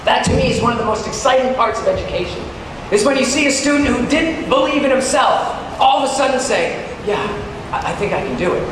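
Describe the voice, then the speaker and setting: A man with a high voice gives a keynote speech in a conversational yet dramatic way, stretching key words for emphasis. He sounds distant from the microphone in a big, heavily echoing hall, with clear background noise. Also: animated, a little fast. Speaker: keynote speaker, teacher. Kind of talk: motivational keynote speech to teachers. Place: large hall at a teachers' conference. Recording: very echoey hall, distant, noisy.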